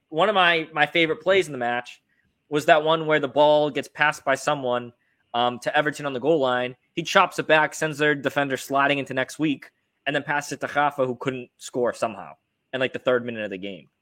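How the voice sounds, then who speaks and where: A man's voice: mid-range voice, brisk, a bit bright. A man hosting a casual football fan podcast, talking through the game in an informal, conversational way. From United States. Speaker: sports podcast host.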